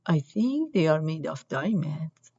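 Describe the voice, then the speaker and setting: A woman's voice: deep voice, sing-song, measured pace, dark tone, husky, lo-fi sound, exaggerated voice. A woman voicing an earnest, fretful little girl in a children's storybook.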